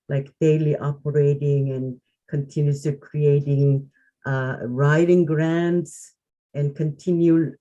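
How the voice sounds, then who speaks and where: A woman with a Korean accent, English as her second language, reflecting to a group on a call, halting and searching for words with frequent restarts. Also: deep voice, warm tone, low-quality recording.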